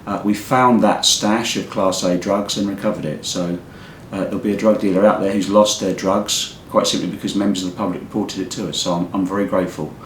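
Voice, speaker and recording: Croaky, low-voiced police commander. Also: male voice, steady tone, fairly quick. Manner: plain, earnest, repeats words while speaking off the cuff. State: hoarse, croaky from a cold. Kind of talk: community police update to camera. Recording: echoey room with faint rumble.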